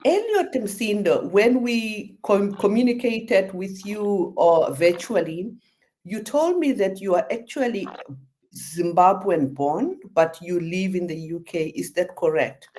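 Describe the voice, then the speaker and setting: A woman's voice: medium pitch, lively intonation, slow pace, darkish tone, poor audio. A woman hosting a radio interview show, talking effusively with many fillers.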